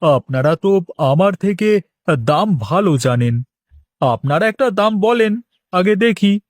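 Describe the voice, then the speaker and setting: A man's voice: sits high, animated, a little slow, dry recording, studio quality. A man playing an accommodating vegetable farmer in a cartoon, bargaining in a coaxing, hesitant way. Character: haggling, accommodating male farmer selling vegetables. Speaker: cartoon character.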